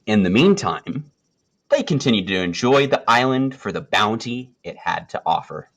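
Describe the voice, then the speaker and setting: Man reading a storybook with lively intonation. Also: fairly low pitch, normal speed. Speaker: storybook reader. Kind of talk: read-aloud children's nature story.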